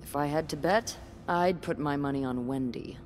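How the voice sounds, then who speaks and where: A woman's voice: low voice, moderate pace, darkish tone, long reverb tail, a little hiss or hum, natural voice. A woman voicing a wry, chatty hotel receptionist in a narrative video game. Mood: wry, gossipy.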